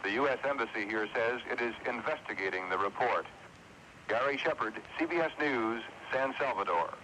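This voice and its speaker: Male American news correspondent. Scripted dispatch, medium pitch, crisp forceful tone, old radio feed with light noise. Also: expressive, normal speed. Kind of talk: scripted field report. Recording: faintly noisy 1980s radio report feed.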